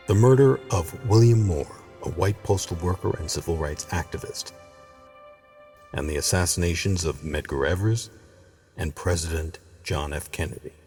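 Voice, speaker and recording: A man with a very low voice and an American accent narrates a scripted documentary. His delivery is measured and dramatic, in the manner of old-time radio storytelling. Light music plays behind him. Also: darkish tone. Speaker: host and narrator.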